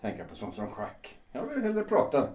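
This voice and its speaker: A man acting in a radio drama as a practical, sociable lawyer in his fifties, conversational and gently prodding with questions. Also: medium pitch, huge pitch swings. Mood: chatty, prodding. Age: 50s. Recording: roomy echo.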